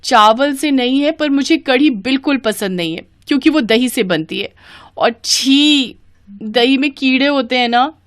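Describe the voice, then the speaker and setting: Woman putting on a high-pitched fussy young bride. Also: unhurried, dry recording, clean studio sound. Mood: fussy, defiant.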